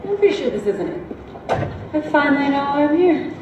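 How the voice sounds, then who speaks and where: An actress playing a confident, excitable heroine in an action film, with a breezy delivery. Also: female voice, sits high, a little fast, lo-fi sound. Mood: confident, excited. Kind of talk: film dialogue. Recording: roomy, noisy film clip.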